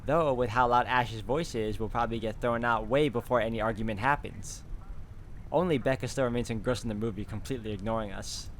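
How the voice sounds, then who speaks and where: A man's voice: medium pitch, a little fast, slight background noise. A man hosting a game walkthrough, reading story text aloud and commenting casually.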